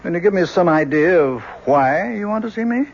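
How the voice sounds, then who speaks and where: A man's voice: sits high, expressive, brisk. An actor playing a weary, genteel older professor and father in an old-time radio drama, hesitant and donnish in his phrasing. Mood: uneasy. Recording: muffled, noisy old radio recording.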